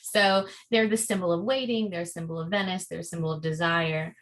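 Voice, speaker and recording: A woman's voice: on the low side, fairly even intonation, a little fast, fairly bright tone. A woman scholar explaining her research thoughtfully, with slight hesitations, in a video call conversation. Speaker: scholar guest. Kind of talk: conversational interview.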